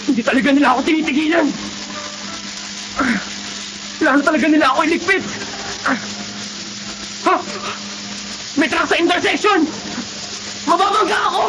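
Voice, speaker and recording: Frantic high-pitched hunted young man. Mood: panicked. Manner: frantic, rapid, shouted speech. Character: panicked hunted young man fleeing on motorcycle. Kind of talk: Tagalog radio drama acting. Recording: noisy radio drama scene with siren.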